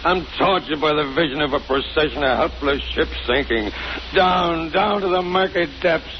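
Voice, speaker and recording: A man's voice: a little high, normal speed, lightly acted. An actor playing a tormented, frightened, guilt-ridden man in a 1930s radio drama, with an anguished, melodramatic delivery. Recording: noisy, muffled 1930s radio recording.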